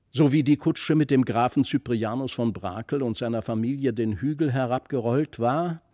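A man's voice: medium pitch, darkish tone, no room sound, clean studio sound. A man narrating a literary fairy tale expressively, as an audiobook reader.

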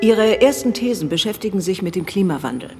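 A woman's voice: medium pitch, expressive, a little fast, over music, natural voice. A woman playing an authoritative prime minister chairing a meeting in a controlled, businesslike way in a radio drama. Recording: long hall reverb.

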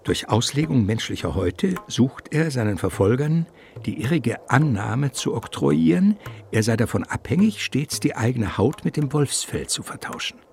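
An actor narrating a mock gothic mystery radio play in a theatrical, savouring, suspenseful literary style. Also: male voice, mid-range voice, lively intonation, moderate pace, vocal fry, a little mellow, soft background music.

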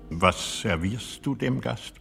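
A man acting in a German radio drama as a brooding, proud old aristocratic general, terse and husky-voiced. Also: on the low side, animated, pushed and bright, soft background music, heavily acted. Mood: curt, commanding. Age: older. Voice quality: husky, gravelly. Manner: slow, deliberate, terse.

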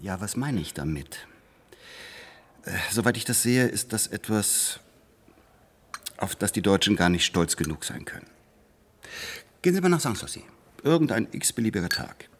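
An actor playing a pompous, irritable lawyer in a radio play, speaking emphatically and rhetorically. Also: male voice, fairly low pitch, slight background noise. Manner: emphatic, rhetorical, easily exasperated. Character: pompous, irritable male lawyer praising national tradition. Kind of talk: naturalistic radio play dialogue.

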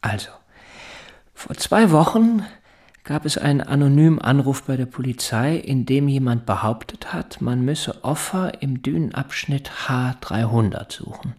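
An actor in a German radio drama playing an anxious, evasive, articulate father who speaks in a deliberate, formal, earnest way. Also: mid-range voice, lively intonation, a little mellow. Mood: nervous, apologetic.

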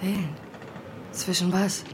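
A woman's voice: fairly low pitch, little pitch movement, takes it slowly. An actress playing a principled, disgusted woman adrift at sea in a radio horror drama. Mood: alarmed disbelief. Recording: loud ambient noise behind.

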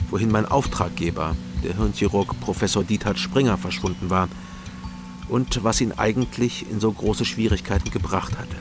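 A man playing an East German private investigator, narrating a crime radio drama in a measured, dry, matter-of-fact way. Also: on the low side, natural voice.

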